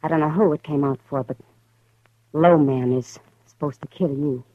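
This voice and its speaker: An actress playing a worried grown female saloon keeper who speaks softly and hesitantly, in a 1950s radio western. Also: low-pitched, moderate pace, no room sound. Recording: dull old radio broadcast.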